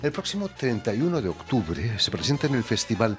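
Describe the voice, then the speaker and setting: A man's voice: mid-range voice, very animated, fairly quick, soft background music. A man hosting a radio interview with courteous, formal phrasing.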